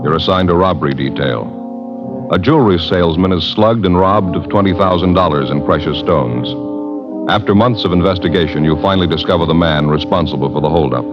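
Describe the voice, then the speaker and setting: A man's voice: sits low, a little fast, over music. A man announcing in 1950s radio style, formal and emphatic, delivering dramatic introductions and advertising. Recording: dull old radio recording.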